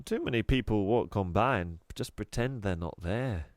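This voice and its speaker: A man's voice: fairly low pitch, expressive, normal speed, no room sound, close to an everyday voice. A man voicing a jolly, chatty middle-aged male shopkeeper with eager salesman patter, in a dry recording. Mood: cheery salesy.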